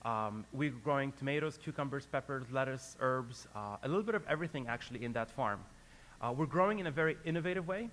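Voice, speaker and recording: Man from Lebanon giving a business pitch. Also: animated, fast talker, fairly bright tone. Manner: earnest, hesitant with many fillers and restarts. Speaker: company founder presenting a live case. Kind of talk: business presentation to an audience.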